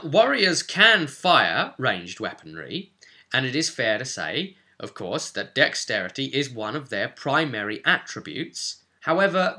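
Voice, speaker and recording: Male presenter for a tabletop game guide; bright, punchy voice at medium pitch, conversational with emphatic stresses. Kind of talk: explanatory game guide commentary.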